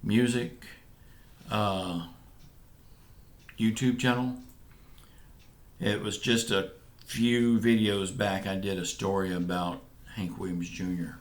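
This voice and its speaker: Low-voiced easygoing Southern man. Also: fairly even intonation. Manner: easygoing, rambling, halting with fillers. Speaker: vlog co-host answering viewer questions. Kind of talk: casual chat.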